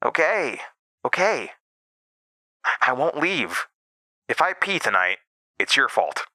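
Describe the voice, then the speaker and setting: A man's voice: mid-range voice, very animated, slow pace, pushed and bright, no echo, clean studio sound, voice pushed up, full character voice. An actor voicing a good-natured, cocky and determined teenage boy at camp in a horror audio drama. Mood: puzzled, reassuring.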